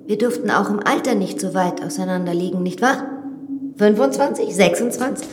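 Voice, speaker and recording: An actress playing a frank, self-assured young widowed lady in a radio drama, telling things in a measured, confiding way. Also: medium pitch, noisy background, natural voice. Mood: forthright. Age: young adult. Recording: studio drama with reverb and music.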